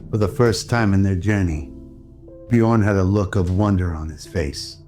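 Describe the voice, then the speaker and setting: A man's voice: low-pitched, normal speed. A man reading scripted narration for an audio drama. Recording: music under the voice. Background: music.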